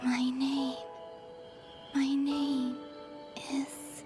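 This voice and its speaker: A wistful young moon maiden speaks slowly in a high, soft, breathy anime game voice. Loud music surrounds her. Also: monotone. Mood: faint, fragile. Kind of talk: anime-style game voice acting.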